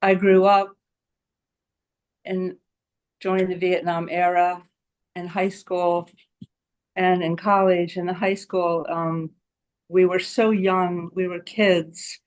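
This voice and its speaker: A woman's voice: lowish voice, level tone, slow pace, pauses often. Older American woman commenting from the floor, level delivery. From United States. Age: 70s. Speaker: audience member.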